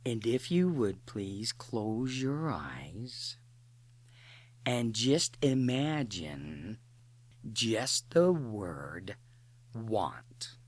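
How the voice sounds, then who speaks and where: A guided imagery narrator speaking a slow meditation script in short, deliberate phrases with pauses between them. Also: mid-range voice.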